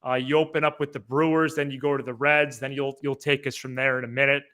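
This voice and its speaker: A man's voice: mid-range voice, flat delivery, quick pace, bright, forceful tone. A man hosting a sports podcast, giving casual commentary in a conversational way.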